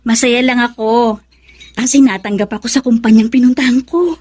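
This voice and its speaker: An actress playing a cheerful, caring elder sister in a Filipino radio drama. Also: female voice, a little high, steady tone, normal speed, a little mellow, slightly rough. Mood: happy.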